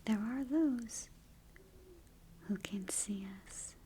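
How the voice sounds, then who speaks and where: A woman voicing a ghost guide in an ASMR roleplay, in a breathy whisper with slow, hushed, deliberate instructions. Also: fairly high pitch, a little hiss or hum. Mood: hushed, cautioning. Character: ghost guide briefing a newcomer to the afterlife. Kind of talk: soft-spoken ASMR roleplay.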